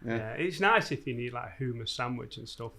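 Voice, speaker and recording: A man's voice: mid-range voice, expressive, gravelly, sharp tone, distant mic. Giggly man in an echoey room. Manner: giggly, quick quips. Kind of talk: casual chat.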